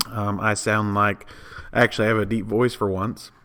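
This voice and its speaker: Hoarse man, slightly low voice. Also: level tone, normal speed, bright, forceful tone. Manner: easygoing, lots of fillers. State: hoarse, sick. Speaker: podcast co-host. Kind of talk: casual chat.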